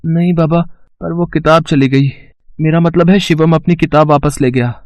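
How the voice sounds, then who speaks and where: An earnest, studious young college boy played by a man speaks in a fairly high male voice, recorded dry and close. He sounds sincere and a little hesitant, as in an animated moral tale. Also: moderate pace, no room sound, natural voice. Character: earnest, hardworking poor college student boy. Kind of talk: acted dialogue for an animated moral story.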